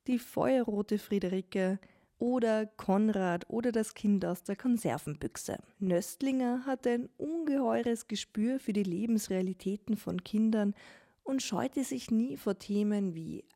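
A woman radio reporter narrating a cultural feature in an even, measured way. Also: medium pitch. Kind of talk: scripted narration.

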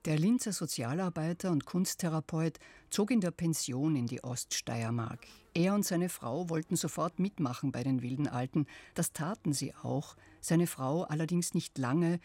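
A narrator reading a scripted radio feature in a calm, measured way. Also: mid-range voice, no room sound.

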